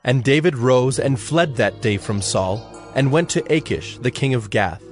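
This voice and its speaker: A man's voice: medium pitch, expressive, moderate pace, soft background music. A man narrating a dramatized Bible story from a script.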